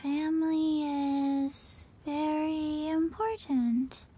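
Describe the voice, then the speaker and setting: Very slow deadpan little girl. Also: high-pitched, a little hiss or hum. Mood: flat, calm. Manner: deadpan, halting. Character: slow, emotionless little undead girl working in a pharmacy. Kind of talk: video game voice acting.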